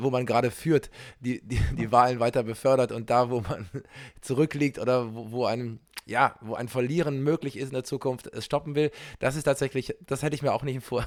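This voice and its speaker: A man's voice: medium pitch, dry recording. Man, podcast co-host, casual chat, chatty, filler-heavy, dry sound. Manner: chatty, many fillers and restarts, laughs often. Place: home, recording remotely.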